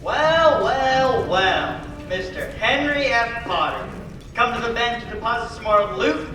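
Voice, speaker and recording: A young man playing a flustered, absent-minded older uncle with a halting, theatrical delivery in an old-fashioned radio play. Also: very high-pitched, expressive, sharp tone, slight background noise, heavily acted. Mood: gloating, jolly. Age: young adult. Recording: echoey live stage, far mic, faint music.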